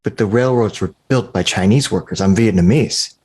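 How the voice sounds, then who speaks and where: A man's voice: lowish voice, moderate pace, darkish tone, slightly rough. An actor playing an exasperated young-sounding male video game player in a comedy table read.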